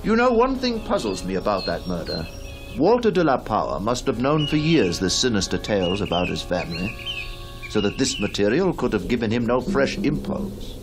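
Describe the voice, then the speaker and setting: A cultured gentleman heir speaks formally and with curiosity. His mid-pitched voice moves expressively up and down, over music in an old radio drama recording. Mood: puzzled. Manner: formal, measured, theatrical. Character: cultured, inquisitive gentleman heir restoring his ancestral home. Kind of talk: mid-century radio drama acting and narration.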